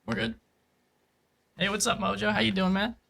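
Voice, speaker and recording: A man giving casual live gameplay commentary and chatting informally with viewers, halting and repeating himself at times. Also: high-pitched, flat delivery, vocal fry, bright, forceful tone, slight background noise. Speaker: game streamer.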